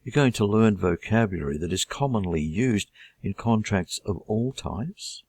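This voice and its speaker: A middle-aged Australian man introducing his English course in a measured, deliberate teaching manner. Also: fairly low pitch, lively intonation, darkish tone, breathy edge, no room sound, studio quality. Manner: measured, deliberate delivery with listed items.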